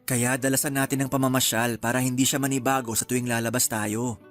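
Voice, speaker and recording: An easygoing young Filipino husband and father acted by a man in a radio drama, a devoted son too. His voice sits at a middle pitch. There is faint music behind him. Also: flat delivery, quick pace, lightly acted. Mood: calm, reasoning. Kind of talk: Tagalog radio drama acting.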